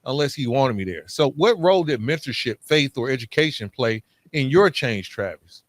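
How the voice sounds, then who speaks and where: A middle-aged man with a mid-pitched voice tells a story in a very dry, close recording. His talk is casual and conversational, and he re-enacts the back-and-forth of remembered dialogue. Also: expressive, moderate pace, no echo. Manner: informal, conversational, acts out both sides of exchanges. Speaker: podcast guest telling his own story.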